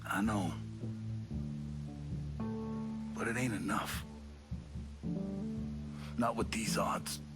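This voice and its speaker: An actor playing a world-weary, reproachful community leader in a video game, with blunt, folksy speech. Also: male voice, fairly low pitch, fairly even intonation, slow pace. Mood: weary, pragmatic. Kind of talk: video game cutscene acting. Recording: music playing behind.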